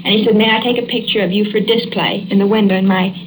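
A woman's voice: mid-range voice, fairly even intonation, fast talker. A young woman from Texas with a Texan accent, insisting on where she is from in an unscripted conversation with a man who doubts her. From Texas, USA. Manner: indignant, insistent and defensive. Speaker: unwitting subject of a hidden-microphone prank. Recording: hidden mic, noisy old radio recording.

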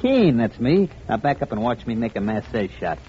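This is a man voicing a boastful gangland boss in a vintage radio play, full of swagger and slang. His voice sits at an ordinary middle pitch. The old broadcast is dull and lo-fi with faint hiss. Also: very animated, moderate pace, natural voice. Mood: cocky. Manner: cocky, swaggering slang talk. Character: brash, boastful male gangster boss. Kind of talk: 1940s radio acting.

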